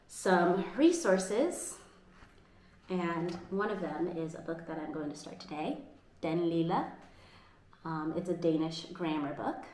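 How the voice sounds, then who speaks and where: Earnest American woman, low voice, echoey room. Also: dark tone, a little hiss or hum. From United States. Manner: earnest, upbeat, with frequent restarts. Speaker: vlogger. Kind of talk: casual vlog talk. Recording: echoey room with faint ambience.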